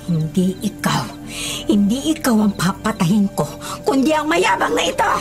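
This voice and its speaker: A woman's voice: medium pitch, very animated, normal speed, soft background music. A woman playing a menacing old grandmother monster in a radio drama, with a taunting, commanding manner. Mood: furious threats. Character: menacing old grandmother who is a flesh-eating shapeshifting monster. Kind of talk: Filipino radio drama acting. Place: small padded radio recording booth. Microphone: studio condenser mic with pop filter.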